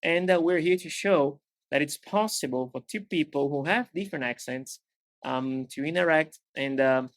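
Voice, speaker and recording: A man's voice: a little high, normal speed, no echo. Brazilian man co-hosting, dry close sound. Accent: Brazilian, American-leaning English. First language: Portuguese. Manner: relaxed, many fillers like "uh" and "um". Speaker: podcast co-host. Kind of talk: casual podcast chat in English.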